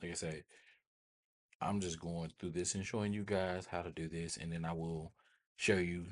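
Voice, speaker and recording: A man hosting a casual software tutorial, thinking aloud informally and often repeating his phrases. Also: low voice, level tone, normal speed. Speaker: tutorial host.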